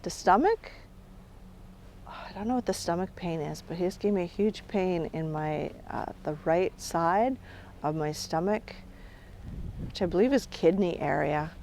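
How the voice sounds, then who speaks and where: A middle-aged woman working as a spirit medium, talking calmly outdoors on an island and often trailing off quietly. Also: on the low side, measured pace, a little hiss or hum. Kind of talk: on-location reality show narration.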